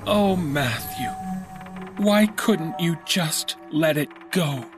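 A man's voice: on the high side, animated, extremely slow, over music, pitched above the performer's own voice. Man voicing a condescending, sinister mom. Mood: sinister regret. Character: boy's mother, dismissive, secretly sinister. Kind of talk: horror story character dialogue.

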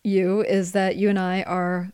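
A woman's voice: medium pitch, monotone, measured pace, a little mellow, clean tone, no echo, clean studio sound. A middle-aged woman hosting a podcast in a casual, chatty interview style. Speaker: podcast host.

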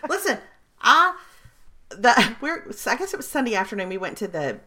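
A woman in her forties co-hosting a podcast, telling stories in an animated, laughing way. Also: on the high side, normal speed. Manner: animated storyteller, laughs often. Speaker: podcast co-host. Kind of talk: casual chat.

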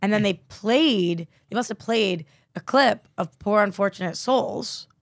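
A young adult woman co-hosting a casual entertainment chat show, excited and sarcastic, who swears freely. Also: mid-range voice, measured pace, no room sound, clean studio sound. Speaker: co-host.

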